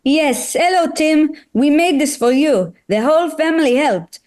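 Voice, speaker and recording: Kindly cartoon mom put on by a woman, high and lilting, for a children's story table read. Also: lively intonation, a little slow, darkish tone.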